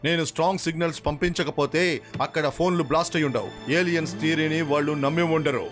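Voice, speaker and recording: Casting: male actor as boastful, greedy cartoon boss, fast-talking and stubborn, somewhat high pitch. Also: music in the background, lightly acted. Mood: boastful, insistent. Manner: insistent, boastful. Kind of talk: Telugu cartoon dub acting.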